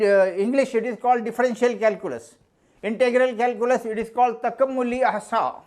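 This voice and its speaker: An older man whose first language is Urdu, a mathematics teacher answering interview questions in a measured, emphatic way that repeats key phrases. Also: very high-pitched, a little mellow.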